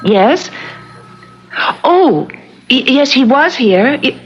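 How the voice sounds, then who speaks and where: A puzzled, hesitant suburban housewife in seventies radio drama. Her highish voice rises and falls freely. The recording is muffled by age. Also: big pitch swings, drawn-out words, background noise, heavily acted. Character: puzzled, polite suburban housewife with missing repairman. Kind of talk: 1970s radio drama acting.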